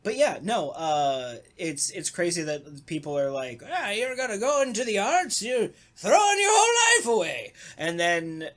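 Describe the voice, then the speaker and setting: A podcast host talking casually in an animated, sarcastic way, with some swearing. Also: mid-range voice, a little slow, hardly any pauses. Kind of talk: casual talkback chat.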